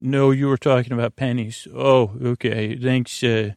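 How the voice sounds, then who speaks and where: A man from the United States with a gravelly, creaky voice, hosting a bedtime podcast in a halting, meandering, tangent-prone monologue. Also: medium pitch, flat delivery, a little mellow, no room sound, clean studio sound. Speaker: podcast host.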